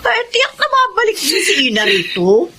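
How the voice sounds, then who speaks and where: An actress with a Filipino accent playing a frank, sensible middle-aged friend who admonishes in a radio drama. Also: female voice, very high voice, huge pitch swings, normal speed, sharp tone, slight background noise, exaggerated voice. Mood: indignant.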